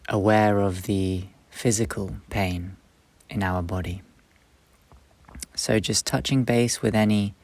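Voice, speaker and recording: A man leading a guided meditation, speaking slowly and gently in short, soft phrases with long pauses. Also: on the low side, vocal fry, no room sound. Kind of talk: guided meditation, instructional and soothing.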